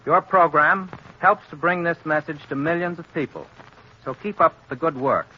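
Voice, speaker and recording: A man's voice: a little high, moderate pace. A man with an American accent giving an earnest, formal prepared radio address as a government guest. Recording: muffled 1930s radio recording.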